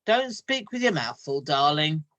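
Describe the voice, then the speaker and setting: A teacher doing a brisk, firm mother. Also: mid-range voice, very animated, a bit bright, muffled recording. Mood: mild. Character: mother, polite and then stern.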